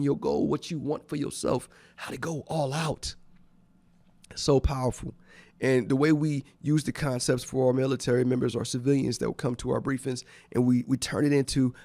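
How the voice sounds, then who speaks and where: A man's voice: mid-range voice, vocal fry, mellow, no echo. A congested man hosting a radio show, giving enthusiastic, rambling thank-yous in casual chat. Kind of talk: casual radio shout-outs. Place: radio studio.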